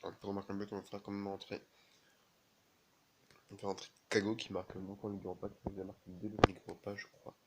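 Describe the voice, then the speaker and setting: Male gamer with a cold, low monotone, casual halting play-by-play. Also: heavy background noise. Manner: halting, many fillers and restarts. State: has a cold, sneezing. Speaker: gaming video creator. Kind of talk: casual game commentary.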